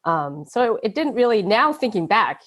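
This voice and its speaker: A woman's voice: mid-range voice, big pitch swings, moderate pace. A woman with an American accent talking casually as an interview guest, peppering her informal speech with filler phrases. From born in Taiwan, grew up in the United States from age seven. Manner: informal, frequent "like" and "you know".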